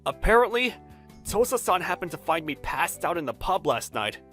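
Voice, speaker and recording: A man acting an excitable, flustered young designer. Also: a little high, moderate pace, soft background music. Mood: reflective narration. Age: young adult. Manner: excitable, swings from reflective narration to exasperated shouting. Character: young male designer, stubborn, earnest, easily flustered. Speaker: narrator and lead character. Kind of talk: anime-style manga dub, dramatized first-person narration.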